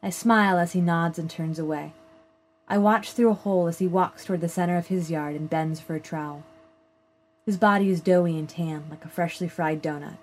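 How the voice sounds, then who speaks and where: A woman reading a first-person literary short story aloud in a measured, plain storytelling manner. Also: fairly low pitch, fairly even intonation, darkish tone. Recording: clear background noise.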